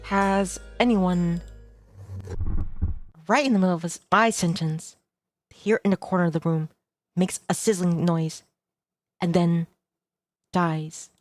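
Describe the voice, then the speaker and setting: A woman reading a visual novel aloud as a streamer, narrating in measured phrases with casual commentary. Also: on the low side, steady tone. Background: music.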